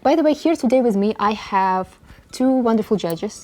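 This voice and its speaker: A woman's voice: a little high, expressive, fast talker, a little mellow. A woman hosting a guessing game, talking casually with frequent laughs and fillers. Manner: laughs often, upbeat, hesitant fillers. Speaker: game show host.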